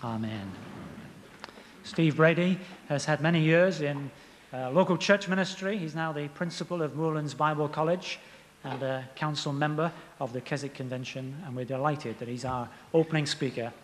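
A man's voice: on the high side, normal speed, in a large hall, slight background noise. An older man with a British accent leading an earnest prayer and introducing the speaker at a convention. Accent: British English. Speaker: convention chairman. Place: large convention tent.

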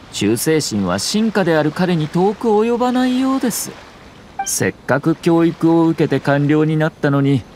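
A man voicing a calm, courteous and calculating young nobleman in an anime-style game, speaking formally with subtle mockery. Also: a little high, a little hiss or hum. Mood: cool, reproachful. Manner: polite, composed, measured formal speech.

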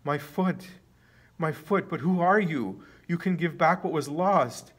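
A man's voice: mid-range voice, fairly even intonation, brisk, close to an everyday voice. A man voicing a poor, emaciated sick man, pleading and astonished, in a story reading. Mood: amazed.

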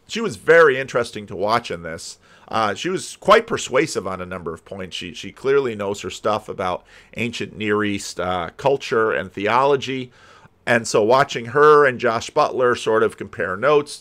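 An older man giving casual, rambling commentary as a host, halting and thinking aloud. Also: medium pitch, fairly bright tone. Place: home room lined with books. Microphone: desk microphone, close, with headphones.

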